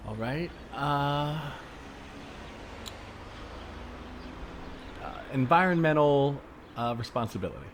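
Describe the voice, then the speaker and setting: A man's voice: fairly high pitch, moderate pace, natural voice. A man playing an awkward, polite, peace-minded city liberal, tentative and diplomatic in a conversational improvised comedy scene. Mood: cautious, cooperative. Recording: faint noise, added ambient soundscape. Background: faint ambient sound effects.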